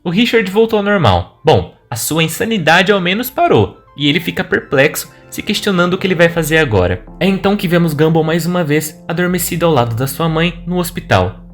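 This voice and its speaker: A man with a Brazilian accent, reading a scripted retelling of an animated horror story as a video narrator. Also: a little high, brisk, few pauses. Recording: music behind the voice. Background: background music.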